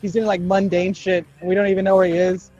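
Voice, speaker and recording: A man's voice: sits high, little pitch movement, quick pace, a little mellow, muffled recording, soft background music. A young man co-hosting a podcast in casual, improvised banter, joking and swearing and acting out bits of dialogue. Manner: jokey, profane, acts out bits of dialogue. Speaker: podcast co-host.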